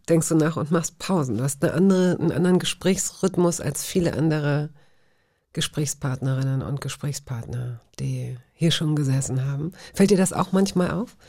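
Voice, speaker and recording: Playful female radio host with a mellow voice, dry studio sound. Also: low voice, no room sound. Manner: playful, chatty, self-ironic. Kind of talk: radio interview and station announcement. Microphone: studio microphone.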